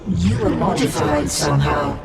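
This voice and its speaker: A man's voice: a little high, moderate pace, over loud music. A man voicing a monstrous collective being that calls itself "we" and talks with cold, formal courtesy. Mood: menacing calm. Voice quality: gravelly, speaks as "we". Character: monstrous collective male abomination, coldly polite. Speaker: game villain. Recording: echoey, distant, loud score behind.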